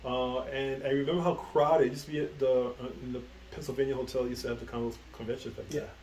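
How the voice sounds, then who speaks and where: A middle-aged man from the Bronx, answering as the guest in a relaxed interview. He rambles, halts and restarts, filling gaps with little fillers. Faint noise sits behind him, and his pitch sits in the middle range. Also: fast talker, roomy sound, rough recording. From the Bronx, New York. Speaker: guest, comic book writer. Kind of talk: casual interview conversation.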